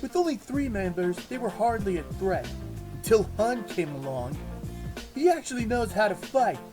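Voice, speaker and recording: A woman voicing a cocky, excitable teenage boy hero in a casual, boyish manner. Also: medium pitch, normal speed, close to an everyday voice. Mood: storytelling. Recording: music behind the voice.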